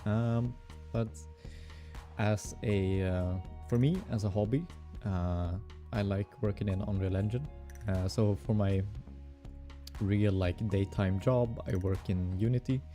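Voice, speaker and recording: A young Swedish-accented man chats slowly through a game-dev stream, thinking out loud with lots of fillers. His voice is low and very mellow, with faint music playing behind him. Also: steady tone. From Sweden. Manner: musing aloud, halting with fillers and soft laughs. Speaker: game developer streaming his hobby project. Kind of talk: casual live-coding stream chatter.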